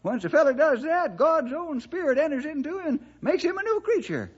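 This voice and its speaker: An actor playing an excitable old cowboy sidekick with folksy, rustic speech in a radio adventure drama. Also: male voice, extremely high pitch, a little fast. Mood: earnest. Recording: dull lo-fi radio recording.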